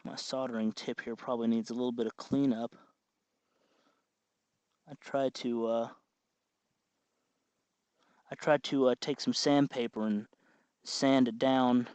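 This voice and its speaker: A man's voice: medium pitch, steady tone, a little slow, pauses often, a little mellow, clean tone, dry recording. Man giving a casual tutorial, muffled audio. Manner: informal, explaining step by step. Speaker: tutorial host. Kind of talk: casual hands-on electronics tutorial.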